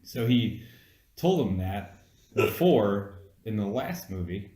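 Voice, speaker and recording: A man's voice: on the low side, very animated, takes it slowly, mellow, echoey room. A man co-hosting a casual movie review, explaining his points insistently in loose, sweary conversation. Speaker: co-host of a movie review podcast.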